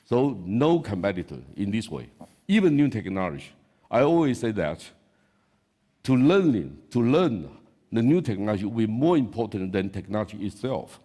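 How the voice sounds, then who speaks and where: A man's voice: on the low side, very animated, normal speed, dark tone. A man from Taiwan with a Taiwanese accent, giving an unscripted conference talk as an analyst, in short emphatic non-native English phrases, recorded in an echoey hall. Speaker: industry analyst, panel speaker.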